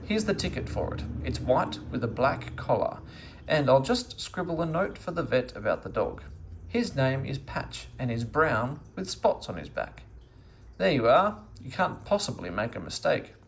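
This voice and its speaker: A man's voice: mid-range voice, sing-song, normal speed, gravelly, slight background noise. A man voicing a grumbling, bossy old woman in a children's storybook. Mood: brisk, matter-of-fact. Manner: querulous, bossy complaining. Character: grumbling, scolding old woman with a sore foot. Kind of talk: children's storybook read-aloud.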